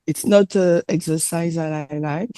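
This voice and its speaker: A woman with a French accent, French her first language, delivering a sermon in English with hesitant, filler-laden pauses while an interpreter follows her. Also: sits low, fairly even intonation, mellow, smooth. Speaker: presenter.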